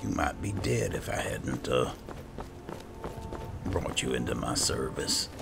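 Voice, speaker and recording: A man with a gravelly voice doing casual, in-character roleplay commentary over a video game. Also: rumbling low, animated, takes it slowly, music in the background. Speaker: gaming channel host, role-playing his character.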